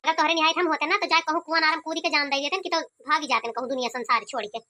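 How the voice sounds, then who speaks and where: A woman's voice: high-pitched, steady tone, racing, pushed and bright. A woman voicing a resentful, complaining young college girl in an Awadhi cartoon comedy, with an Awadhi accent. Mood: bitter complaining. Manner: complaining, scolding. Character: resentful, sharp-tongued young college-going younger daughter.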